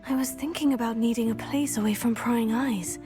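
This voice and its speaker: A woman's voice: on the high side, steady tone, fairly quick, music in the background, natural voice. An actress voicing a curious young female student in a video game, polite and plain-spoken.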